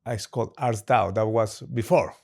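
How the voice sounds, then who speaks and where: A man's voice: lowish voice, moderate pace, gravelly, a little mellow. A man hosting a podcast interview, upbeat and chatty, with loosely phrased English that drops small words. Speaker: podcast host. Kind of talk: casual interview chat.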